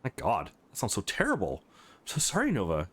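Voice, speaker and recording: A man's voice: on the low side, wildly swooping pitch, brisk, slightly rough, heavily acted. A man voicing a caring, earnest young male student hero in a visual novel, with a reassuring manner. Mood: concerned.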